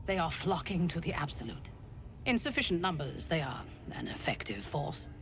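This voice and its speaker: A woman's voice: sits low, sing-song, moderate pace, a little hiss or hum. A woman playing a cold, imperious elf commander in a video game, with a menacing manner. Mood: cold, commanding. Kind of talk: video game character acting.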